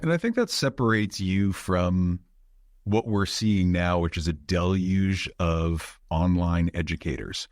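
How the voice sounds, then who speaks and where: Male podcast host, lowish voice, dry close sound, reflective, articulate, conversational. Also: a little slow, dry recording. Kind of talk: conversational interview.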